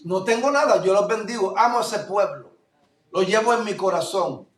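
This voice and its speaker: A male pastor from Puerto Rico with a Puerto Rican accent, preaching a fervent sermon that swings between loud declarations and low asides. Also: normal speed. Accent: Puerto Rican Spanish. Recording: echoey room, voice from far off mic.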